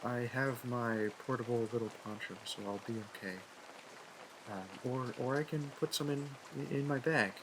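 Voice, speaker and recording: A man's voice: mid-range voice, normal speed, background noise, lightly acted. A man playing a shy, smitten young library worker in a roleplay audio, nervous and awkward, stammering and correcting himself. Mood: flustered, caring. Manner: stammering, hesitant, self-correcting. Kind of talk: intimate roleplay audio acting.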